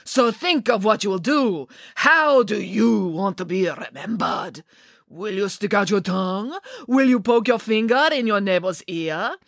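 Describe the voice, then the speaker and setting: Woman putting on a showy, commanding man, slow, grand and higher-pitched, with a far-off tone, dry sound, storybook reading. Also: sharp tone, no room sound, exaggerated voice. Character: flamboyant, dramatic grown man taking school photos.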